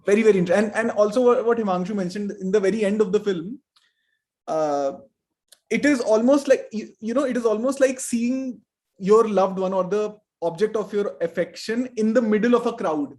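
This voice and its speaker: A man's voice: sits high, moderate pace, darkish tone. A man hosting a reflective film discussion, thoughtful and often searching for his words. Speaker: podcast host.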